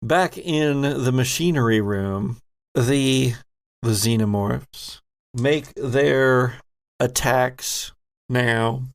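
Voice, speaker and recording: Gravelly man narrating tabletop horror. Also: mid-range voice, drawn-out words. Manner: descriptive, suspenseful storytelling. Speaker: game master and host. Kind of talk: dramatic improvised narration of a tabletop horror game.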